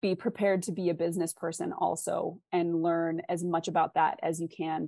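A woman with a slightly low voice talks as an interview guest, recorded close and dry. She gives advice in a candid, encouraging way, leaning on key words for emphasis. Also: a little mellow, dry recording. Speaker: interview guest, author and freelance writer. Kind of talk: conversational interview, giving advice.

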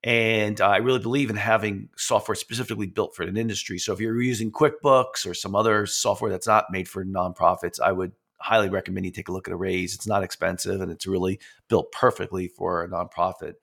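A man hosting a podcast, nasal from a head cold. His voice sits fairly low and has a gravelly fry, and he talks haltingly, full of fillers and restarts. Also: steady tone, a bit bright. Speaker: podcast host. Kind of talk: casual interview, reading sponsor spots.

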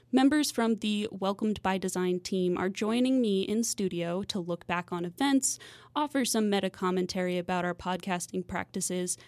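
A woman's voice: medium pitch, a little mellow, no room sound. A woman hosting a podcast, delivering scripted narration in a studio. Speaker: podcast host and producer.

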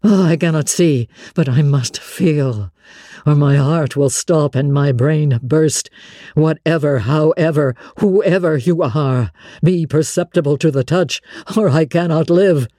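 A woman reader plays a brooding gentleman from a classic novel, pitched well down low. He is imperious and anguished, speaking with slow, commanding passion and a husky grain. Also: dry recording, clean studio sound. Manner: commanding, impassioned. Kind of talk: dramatic audiobook reading of classic literature.

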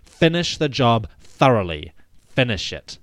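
A man's voice: mid-range voice, very animated, a little slow, a bit bright, no echo, studio quality. A man voicing a commanding Egyptian king in a declamatory dramatic reading. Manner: declamatory, imperious. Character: commanding victorious Egyptian pharaoh addressing his army. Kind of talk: dramatic reading of an ancient royal account.

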